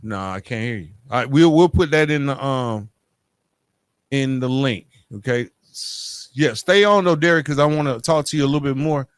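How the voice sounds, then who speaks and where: A man hosting an interview podcast, speaking in a halting way as he searches for words. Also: mid-range voice, expressive, clean tone, no echo, clean studio sound. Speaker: podcast host. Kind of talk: hosting an interview podcast, wrapping up with calls to action.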